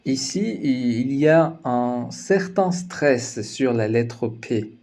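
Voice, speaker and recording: Slow, mellow-voiced male teacher. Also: medium pitch, lively intonation. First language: Persian. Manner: slow, careful, explanatory delivery with hesitation fillers. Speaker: language teacher. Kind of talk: language lesson narration.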